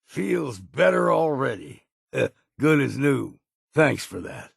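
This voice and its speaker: A crusty old male hunter from a video game, his voice thick with heavy gravel and husky breath. He speaks slowly in folksy, plain words, like a hard-drinking companion of few illusions. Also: mid-range voice, sing-song, no room sound, clean studio sound, heavily acted. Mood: plainspoken. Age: older. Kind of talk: video game character dialogue.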